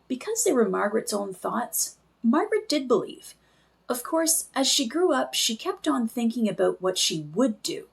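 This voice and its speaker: A woman reading a children's book aloud in an expressive storytelling style. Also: fairly high pitch, moderate pace, gravelly.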